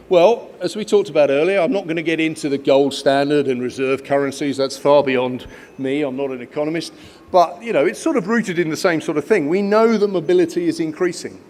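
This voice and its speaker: Halting man giving a speech. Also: a little high, a little mellow, a little hiss or hum. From Kenya. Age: 50s. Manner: halting, many restarts and fillers. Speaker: guest speaker at an education conference. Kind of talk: conference speech.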